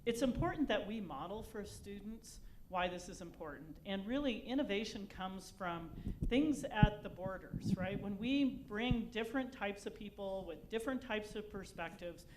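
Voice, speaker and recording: A woman's voice: mid-range voice, lively intonation, moderate pace, background noise. Emphatic woman on a panel. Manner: emphatic, upbeat. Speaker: research vice chancellor on a panel. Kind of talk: unscripted panel remarks.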